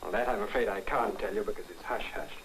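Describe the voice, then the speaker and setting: An actor with an upper-class British accent playing a trusting, smitten army officer in 1940s film style, polite and earnest. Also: male voice, fairly low pitch, fast talker, natural voice. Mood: earnest, affectionate. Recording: noisy old film soundtrack.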